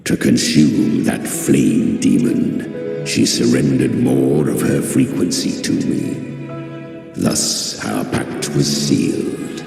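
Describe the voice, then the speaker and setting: An actor playing an ancient devouring demon beast in a video game, with a growling voice and archaic, ominous, menacing speech. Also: male voice, takes it slowly, full character voice. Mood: ominous, grave. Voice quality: very deep, gravelly growl. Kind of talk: dramatic video game villain narration. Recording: cavernous reverb, music underneath.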